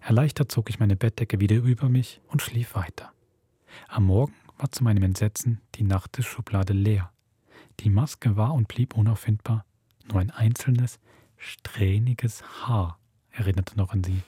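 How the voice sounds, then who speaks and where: Low, mellow Swiss male host. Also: slightly rough, no echo. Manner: measured storytelling. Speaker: podcast host. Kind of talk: reading a listener's spooky story aloud.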